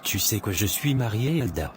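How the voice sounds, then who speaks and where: A man's voice: on the low side, very animated, moderate pace, a little hiss or hum. A man voicing a placating married man soothing his mistress in a cartoon.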